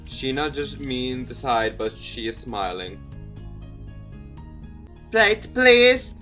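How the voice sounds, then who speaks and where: A man's voice: medium pitch, measured pace, fairly bright tone, faint music behind. A man doing a let's play, reading a visual novel aloud in a theatrical, jokey way and interjecting his own comments. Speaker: video game let's player.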